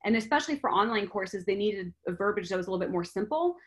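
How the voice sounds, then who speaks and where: A woman's voice: medium pitch, lively intonation, fast. Podcast guest: a middle-aged woman, a former teacher, chatty and earnest. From France. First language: French. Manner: earnest, chatty, many "like" fillers. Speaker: guest, language teacher and author. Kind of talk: casual podcast interview.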